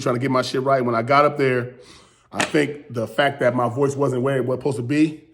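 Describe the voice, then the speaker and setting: A man and battle rapper with a strained, hoarse voice, recapping his round in loose, rambling casual talk. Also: medium pitch. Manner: loose, rambling, repeats "you know what I'm saying". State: losing his voice.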